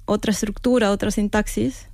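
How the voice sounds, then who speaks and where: A Peruvian woman speaks as a guest author in an interview. Her voice is mid-pitched with a gravelly fry, captured very dry and close. She thinks aloud reflectively and often ends thoughts with a small tag question. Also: steady tone, moderate pace, a little mellow, dry recording. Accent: Peruvian Spanish. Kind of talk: conversational interview answers.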